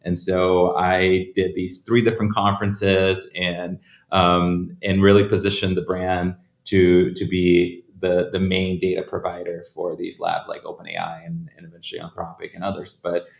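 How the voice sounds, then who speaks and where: A man's voice: sits low, completely flat delivery, normal speed, gravelly. A man from Brazil, a guest on an interview podcast, telling his career story in a loose, conversational way and often repeating words as he restarts. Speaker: guest, startup founder. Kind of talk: casual interview answers. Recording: echoey room.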